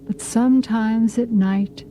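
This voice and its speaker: Woman reading a fairytale, super mellow dark tone, slow, bit of noise behind. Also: a little high, steady tone, poor audio. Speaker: narrator. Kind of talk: scripted storybook narration for English learners.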